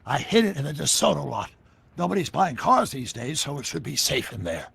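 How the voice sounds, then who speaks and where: An actor playing an eccentric older American scientist in a video game, explaining things in a wordy, theatrical way with a gravelly voice. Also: male voice, a little high, sing-song, fairly quick, fairly bright tone, full character voice. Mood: animated explaining. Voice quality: gravelly, husky. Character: eccentric older male scientist inventor, excitable and secretive. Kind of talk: scripted video game dialogue.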